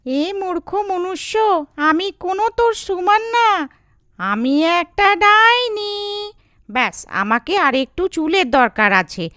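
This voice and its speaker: A woman playing a scornful, power-hungry witch who makes gloating threats in an animated horror folk tale. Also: very high voice, a little slow, no echo, studio quality, full character voice. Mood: menacing, boastful.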